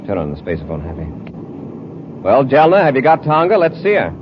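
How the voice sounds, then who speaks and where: A man's voice: mid-range voice, wildly swooping pitch. An American man acting a resolute, authoritative space patrol commander in a mid-century radio serial. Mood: urgent, resolute. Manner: brisk, authoritative, clipped orders. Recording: noisy, muffled old radio recording.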